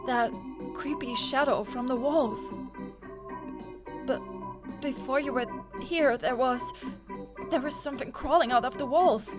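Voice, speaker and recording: Slow, high-voiced woman narrating over loud music. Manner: atmospheric, suspenseful storytelling. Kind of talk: dramatic first-person visual novel narration in character as a college student. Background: prominent background music.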